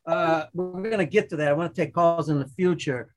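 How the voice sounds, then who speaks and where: Upbeat high-voiced podcast host man. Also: a little fast, music in the background. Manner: upbeat, rambling, restarts often. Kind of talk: casual chat.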